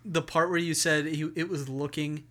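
Young man, podcast co-host, somewhat high voice, sheepish and giggly. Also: level tone, moderate pace, bright, forceful tone. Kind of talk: casual chat.

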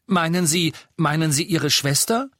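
A man's voice: on the high side, expressive, measured pace, fairly bright tone, no room sound, studio quality, pitched above the performer's own voice. A man voicing an anxious, earnest teenage girl who speaks in a strained, pleading way. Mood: insistent. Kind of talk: audiobook character voice.

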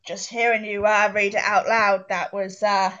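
A woman calling in to a show, speaking in a hesitant, subdued, casual way. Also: mid-range voice. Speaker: caller and writer of the tribute. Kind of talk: casual call chat. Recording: dull, quiet call audio.

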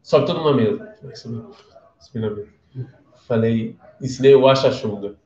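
A man's voice: mid-range voice, normal speed, darkish tone, roomy sound, muffled recording. A man from Brazil with a Brazilian Portuguese accent, giving a casual live-streamed class and telling stories as he questions his listeners. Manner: chatty storyteller, questions the listeners.

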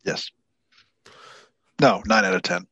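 A man co-hosting a casual podcast, laid-back and quick to laugh. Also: low-pitched, fairly bright tone, breathy edge, no room sound, dull audio. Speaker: podcast co-host.

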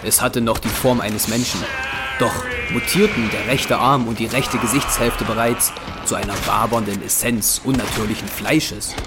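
A man reading scripted narration for an audio drama. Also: fairly low pitch. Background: music.

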